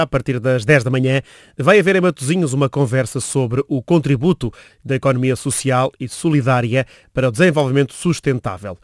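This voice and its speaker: A man with a European Portuguese accent reads event listings and credits as a radio host, in a measured, formal announcer manner. His voice sits at an ordinary middle pitch for a man. The studio sound is dry and close, with no room echo.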